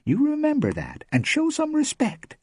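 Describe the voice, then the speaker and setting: A man voicing a stern, shrill scolding woman. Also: huge pitch swings, normal speed, a little mellow, no room sound, studio quality, exaggerated voice. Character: stern woman of the coven, protective.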